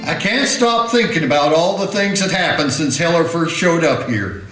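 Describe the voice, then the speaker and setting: A man playing an uneasy frontier deputy in a radio play, speaking with rough country grammar. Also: on the high side, lively intonation, normal speed, fairly bright tone, soft background music. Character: jumpy frontier deputy, spooked and wondering. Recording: echoey room.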